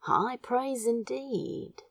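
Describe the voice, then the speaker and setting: A woman voicing a wry but vulnerable, lovestruck male sorcerer in a romantic story, hushed and breathy. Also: on the high side, extremely slow, no echo, voice pushed up, heavily acted. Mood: resigned, wry.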